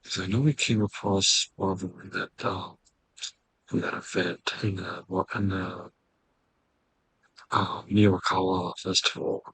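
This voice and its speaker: A man giving casual gameplay commentary in a hesitant, halting, musing way. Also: low-pitched, steady tone, a bit bright, breathy edge, low-quality recording. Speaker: video game streamer.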